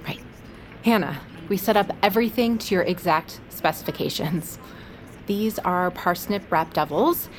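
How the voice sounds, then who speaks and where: An actress playing an eager, deferential catering team leader in an audio drama. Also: female voice, on the low side, measured pace, a little hiss or hum, close to an everyday voice. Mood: polite, eager. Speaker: actor in a sci-fi audio drama. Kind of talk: naturalistic audio drama acting.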